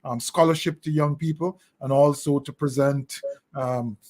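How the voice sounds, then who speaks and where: A middle-aged man with a Jamaican accent giving thoughtful, deliberately phrased answers as an interview guest. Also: mid-range voice, fairly even intonation, a little mellow. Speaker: interview guest, leadership consultant.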